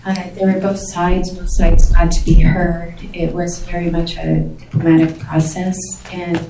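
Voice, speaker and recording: Quiet, flustered woman at public comment, low and mellow, distant and roomy. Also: flat delivery, a little slow, vocal fry. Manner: soft-spoken and flustered, with many fillers. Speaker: short-term rental owner giving public comment. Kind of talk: public comment at a county board meeting. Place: county board meeting room. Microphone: seated, away from the microphone. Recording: distant, echoey room audio with faint noise.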